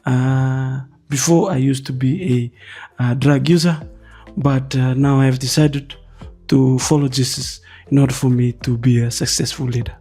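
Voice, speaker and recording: Hesitant young Fijian man, mellow voice. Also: medium pitch, fairly even intonation, husky, soft background music. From Fiji. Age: 20s. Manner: hesitant, many pauses and uhs. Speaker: guest. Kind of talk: personal story in a podcast interview.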